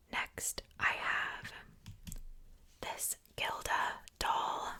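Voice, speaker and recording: A young adult woman speaking in a breathy, unvoiced whisper, doing a casual ASMR show-and-tell in a soft, rambling, unhurried way. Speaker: ASMR video creator.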